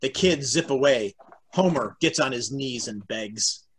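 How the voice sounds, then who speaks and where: A man with a husky voice reading stage directions as narrator of an amateur table read. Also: mid-range voice, animated, unhurried, slight background noise. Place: home room, on a video call.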